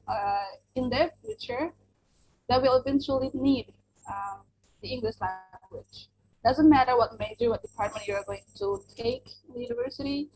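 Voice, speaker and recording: Young indonesian woman, english teacher, kinda high voice, rambles with lots of uh's, faint hiss behind. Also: gravelly, low-quality recording. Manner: earnest, rambling with many fillers and restarts. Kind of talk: spoken interview answers.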